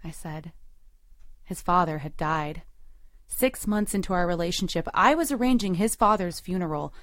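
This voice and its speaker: A woman's voice: on the low side, moderate pace, no room sound, studio quality, natural voice. A woman playing a hurt, resolute girlfriend in an audio drama, with an intimate, controlled and emotionally weighted delivery. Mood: subdued, wistful narration. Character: hurt, resolute girlfriend leaving her long-term boyfriend. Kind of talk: audio drama acting with first-person narration.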